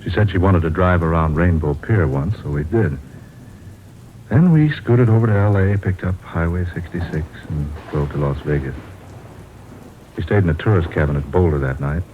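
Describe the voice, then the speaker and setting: Man acting deep-voiced writer husband telling his travels, old radio drama, muffled with rain and hiss. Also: normal speed, close to an everyday voice. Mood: matter-of-fact. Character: male writer husband, bemused, fond, reflective narrator of travels.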